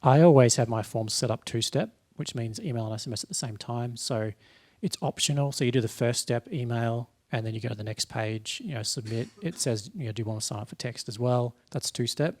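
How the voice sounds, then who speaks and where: A man's voice: mid-range voice, fairly quick, darkish tone. A man speaking as a panelist in a casual discussion, explaining things in a matter-of-fact way. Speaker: panelist, eCommerce marketer. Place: live event in front of an audience.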